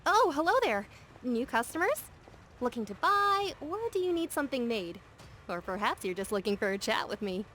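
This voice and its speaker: A woman's voice: on the high side, very animated, normal speed, background noise. A woman playing a friendly, practical female workshop merchant in a video game. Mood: welcoming.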